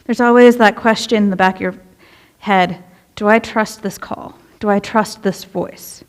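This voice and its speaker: A woman's voice: medium pitch, steady tone, a little slow. A young woman, a youth minister, preaching a casual, conversational sermon in a church and asking the congregation questions. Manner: chatty, relatable, asks the congregation questions. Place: church sanctuary.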